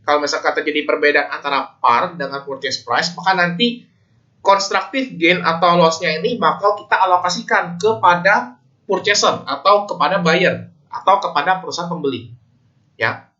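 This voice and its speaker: A man's voice: fairly high pitch, normal speed, bright, forceful tone, lo-fi sound. A man with an Indonesian accent giving an informal explanatory lecture as a teacher, in a conversational way that often checks in with listeners. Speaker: accounting lecturer.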